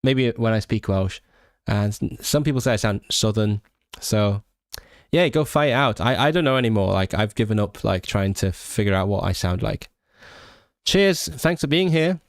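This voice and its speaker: A young man from Hong Kong with a mixed British English accent, hosting a relaxed language livestream and answering viewers with lots of hesitant restarts. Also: lowish voice, moderate pace, no room sound, studio quality. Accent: British English mix. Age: young adult. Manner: hesitant, many restarts and fillers, self-deprecating. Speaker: language channel host; language learner and student. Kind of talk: casual livestream chat reading viewer comments.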